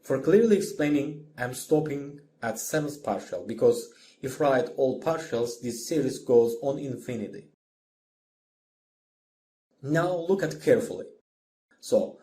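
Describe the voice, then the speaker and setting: Slow, mellow man giving a lesson. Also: medium pitch, expressive. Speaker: music theory lecturer. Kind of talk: scripted instructional narration.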